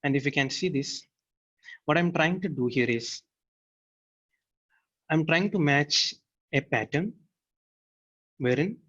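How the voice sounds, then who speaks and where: A man giving a step-by-step software demo as a technical presenter, explaining in a halting way. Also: mid-range voice, muffled recording.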